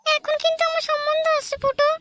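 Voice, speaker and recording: A very squeaky young cartoon girlfriend, played by a man, with a bright, piercing edge. She talks in a whiny, pleading way and nags her boyfriend at a rapid clip. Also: level tone, a little hiss or hum. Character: young woman, pleading, nagging girlfriend of a hot-headed slacker. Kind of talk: amateur comedy cartoon dub.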